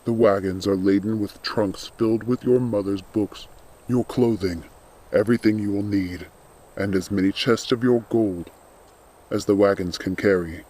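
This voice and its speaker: A man playing a loyal undead skeletal servant in a storybook, speaking in a grave, earnest, measured and protective way. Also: fairly low pitch, fairly even intonation, a little mellow, close to an everyday voice. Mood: firm, protective.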